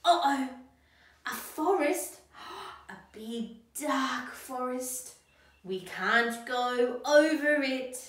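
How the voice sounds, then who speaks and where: Young woman doing a kids story read-aloud, high bright voice, super dramatic and slow, really echoey room. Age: young adult. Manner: theatrical, exaggerated expression with dramatic gasps. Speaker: early childhood educator reading to children. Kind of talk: animated children's picture-book read-aloud. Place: small indoor classroom. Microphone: camera a short distance away. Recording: echoey room, slightly distant microphone.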